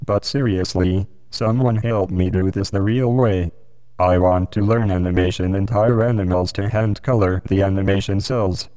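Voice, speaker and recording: A man with a lowish, mellow voice speaks in a deadpan, mock-earnest way. A long reverb trails off his words, as if he recorded in a large room.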